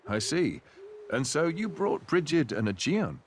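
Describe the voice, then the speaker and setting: An actor playing an affable young prince in a video game cutscene, courteous and thoughtful with a gently wry touch. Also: male voice, on the high side, big pitch swings, unhurried. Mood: composed. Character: affable young prince, earnest and reluctant to rule. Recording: music behind the voice.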